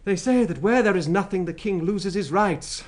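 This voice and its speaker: A man's voice: high voice, normal speed, a little mellow, close to an everyday voice. A man acting in a radio drama with a British accent, playing a talkative, wryly amused royal secretary with an expansive, emphatic, rhetorical manner. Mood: wry, reflective.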